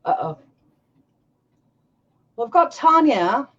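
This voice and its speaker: A woman's voice: a little high, slow. Nasal chatty woman interviewer. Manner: chatty, laughs often, quotes others with lively voices. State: congested from allergies. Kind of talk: casual livestream interview. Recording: dull lo-fi stream audio.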